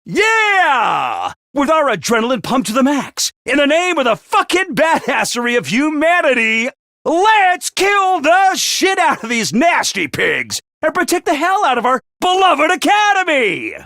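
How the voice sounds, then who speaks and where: An actor voicing a chipper, unhinged male academy announcer who gives bubbly announcements and bursts into gleeful shouting. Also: very high voice, wildly swooping pitch, measured pace, dry recording, clean studio sound, exaggerated voice. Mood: manic. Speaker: game character announcer. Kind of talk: video game voice lines.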